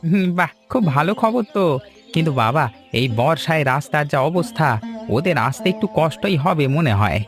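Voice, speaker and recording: A reassuring older village uncle in an animated story, acted by a man. His voice is on the high side for a man and kindly. Music plays clearly behind him. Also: moderate pace. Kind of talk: cartoon voice acting.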